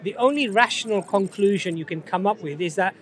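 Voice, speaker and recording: A man's voice: sits high, fairly quick, fairly bright tone. A man preaching in a street debate, assertive and lecturing, firing rhetorical questions. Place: city street outdoors.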